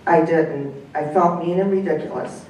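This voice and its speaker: A woman's voice: deep voice, moderate pace, mellow, far from the mic, a little hiss or hum, close to an everyday voice. A woman reading the part of a regretful middle-aged man talking with his dog, heard from afar in an echoey room. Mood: rueful. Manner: weary, conceding. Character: rueful middle-aged widower, gruff, regretful.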